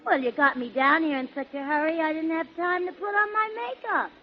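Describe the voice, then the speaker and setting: An actress playing a blunt, unimpressed, slangy wisecracker in 1940s radio comedy, on a dull old recording. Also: female voice, extremely high pitch, fairly quick.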